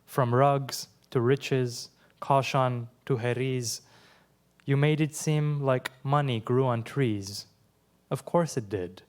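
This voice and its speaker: Slow, measured male poet reading aloud. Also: mid-range voice, clean tone. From Iran. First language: Persian. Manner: slow, measured reading with quiet asides between poems. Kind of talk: live poetry reading.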